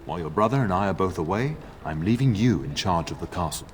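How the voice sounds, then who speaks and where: Older fatherly lord, jovial, low voice with animated swings, game score under. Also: fast talker, slight background noise. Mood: hearty. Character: noble older male lord, hearty father.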